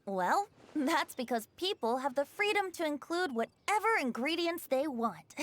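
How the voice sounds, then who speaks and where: Lilting, playful boyish bard. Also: female voice, sits high, animated, unhurried, slight background noise. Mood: wistful, explaining. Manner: theatrical, flowery, lilting. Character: playful, breezy young male bard, charming and knowing. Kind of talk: video game voice acting.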